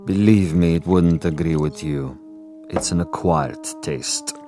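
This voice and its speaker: An actor playing a suave, sadistic Soviet commissar in a radio drama, speaking in a condescending, theatrical, deliberate way. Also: male voice, sits low, over music.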